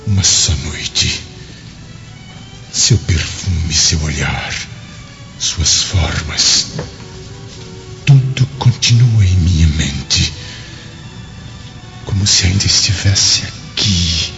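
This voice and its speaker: Casting: yearning Roman governor, ardent and slow, with widely swooping delivery, for radio drama. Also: male voice, low-pitched, lively intonation, vocal fry, a bit bright, heavily acted. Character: lovesick Roman governor, yearning, secretive husband. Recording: muffled radio drama with music.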